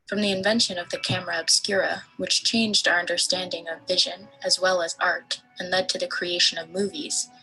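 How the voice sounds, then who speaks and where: A young woman reading a scripted documentary narration for a student presentation, steady and at times breathy. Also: lowish voice, low-quality recording.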